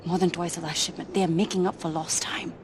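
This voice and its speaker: A woman's voice: fairly low pitch, level tone, fast talker, close to an everyday voice. A woman playing a wary, loyal rebel informant with an Indian accent, reporting urgently in a video game. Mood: urgent, secretive. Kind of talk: dramatic video game acting. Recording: game scene with noisy ambience.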